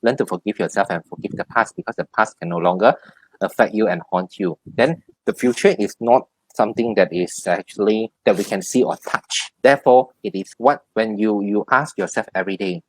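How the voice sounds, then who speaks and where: A man giving spiritual advice as a tarot reader, explaining things haltingly and often repeating his phrases. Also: fairly low pitch, no echo, poor audio. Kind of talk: spiritual advice in a one-on-one reading.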